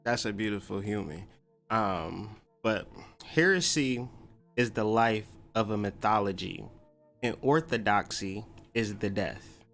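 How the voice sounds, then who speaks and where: A low-pitched American man hosts a podcast in an informal, slangy way. He muses in a conversational stop-and-start monologue, with faint music under his voice. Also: a little slow, a bit bright. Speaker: podcast host. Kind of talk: loose conversational monologue on myth and spirituality.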